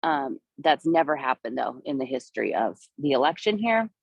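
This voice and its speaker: A woman with a cold-worn, hoarse voice leads a live outdoor walking tour, chatting and pointing things out as she goes. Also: very deep voice, big pitch swings, moderate pace, no echo, lo-fi sound. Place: outdoors in a city park and streets.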